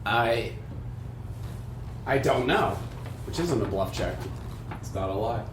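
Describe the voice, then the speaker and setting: Brash cheeky dnd player, super lively pitch, echoey room, faint noise. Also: takes it slowly. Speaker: player in a tabletop game. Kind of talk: casual improvised in-character play. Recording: roomy group recording with noise.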